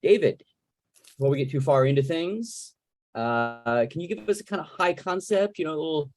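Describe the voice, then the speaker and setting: A man's voice: a little high, expressive, normal speed. A man hosting a casual moderated interview over a video call, asking chatty, rambling questions. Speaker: host, moderator.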